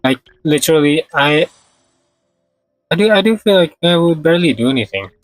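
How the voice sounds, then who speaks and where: A man's voice: fairly high pitch, expressive, normal speed, dry recording, soft background music. A man chatting casually on a game livestream, thinking aloud in short, hesitant fragments. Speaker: game streamer. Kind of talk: casual livestream gameplay chat.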